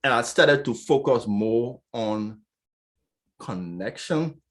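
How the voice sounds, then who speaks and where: A man's voice: medium pitch, expressive, takes it slowly. A man, a business coach and public speaker, telling his own story at an event, stuttering now and then with repeated sounds. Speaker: attendee sharing his experience. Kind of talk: personal story at an online event.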